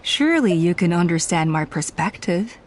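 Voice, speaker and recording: An actress playing a proud, self-righteous clan elder woman in a video game, speaking in measured, formal, persuasive speeches. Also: lowish voice, animated, lightly acted. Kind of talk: scripted video game voice acting. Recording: music under the voice.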